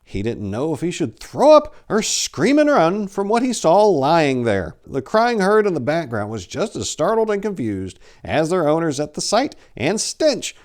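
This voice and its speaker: A man in his forties giving a theatrical, expressive dramatic reading of horror fiction. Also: on the high side, normal speed, few pauses. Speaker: storyteller. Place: small indoor room lined with bookshelves. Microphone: lapel.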